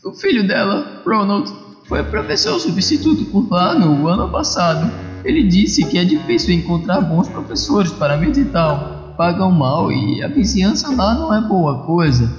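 A man voicing a kindly, gently teasing elderly aunt in dubbed comic-book dialogue. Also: high-pitched, sing-song, moderate pace, voice pushed up. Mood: chatty. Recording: echoey, muffled, music behind.

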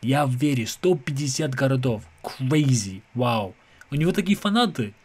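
A man's voice: mid-range voice, expressive, moderate pace, slightly rough. Young Englishman speaking accented, non-native speech. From England. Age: young adult. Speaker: reaction video host. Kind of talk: casual reaction commentary. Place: small room at home. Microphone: desk microphone close in front, headphones on.

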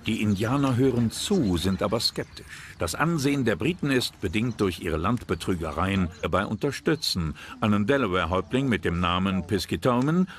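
Very deep-voiced male documentary narrator. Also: animated, normal speed, few pauses, slight background noise. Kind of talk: scripted history documentary narration. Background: background music.

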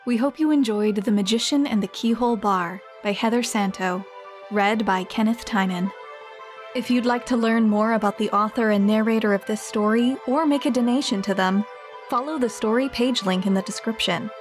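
Female announcer, scripted outro, fairly high pitch, faint music behind. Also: moderate pace. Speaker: show announcer.

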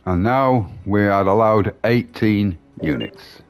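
A man's voice: lowish voice, slow pace, soft background music. A man giving casual commentary as host of a gameplay video.

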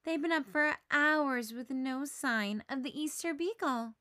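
High, bright-voiced woman reading a storybook. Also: a little slow, dry recording, clean studio sound. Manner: animated, dramatic. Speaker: storybook reader. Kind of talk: expressive children's read-aloud narration.

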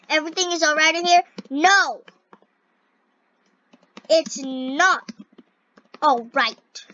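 A young female gamer with a scratchy voice, commenting on a game and reading its dialogue aloud in an excitable, halting way. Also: sits high, no echo. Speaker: gaming video host. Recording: dull, lo-fi close microphone.

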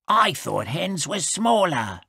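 A woman's voice: lowish voice, very animated, slow, gravelly, fairly bright tone, husky, no echo, clean studio sound, full character voice. A woman voicing a small young male wolf in a storybook, naive, polite and guileless.

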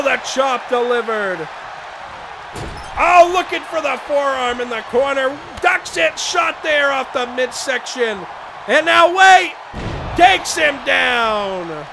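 A man losing his voice, shouting excited play-by-play for a wrestling video game. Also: very high voice, vocal fry. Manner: shouting excitedly over the action. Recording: game crowd noise behind voice. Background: video game arena crowd and sound effects.

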